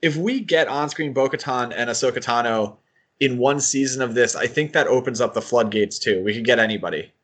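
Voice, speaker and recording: A man's voice: medium pitch, a little fast. A man hosting a podcast in a casual, conversational chat style. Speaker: podcast host.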